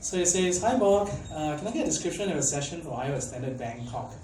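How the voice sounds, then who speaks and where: Young man from Malaysia, casual conference speaker, chatty, lots of fillers, quizzes the crowd; dark tone, faint background noise. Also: on the high side, expressive, quick pace. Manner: chatty, filler-heavy, asks the audience questions. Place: conference hall. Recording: echoey hall, mic at a distance, faint background noise.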